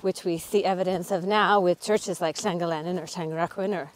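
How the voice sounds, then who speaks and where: A woman's voice: fairly low pitch, fairly even intonation, moderate pace, dry recording, low-quality recording. A middle-aged woman hosting a casual walking talk outdoors, explaining history with frequent ums. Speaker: author and channel host. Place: outdoors on a cold hillside.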